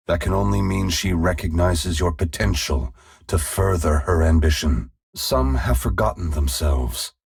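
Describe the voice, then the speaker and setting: An ancient god of immense size from a fantasy game, with a very low voice. It is extremely husky and full of gravelly creak. The delivery is solemn, sorrowful and formal, each line measured and grave. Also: male voice, darkish tone, exaggerated voice. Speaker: video game character voice. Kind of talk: scripted fantasy game dialogue.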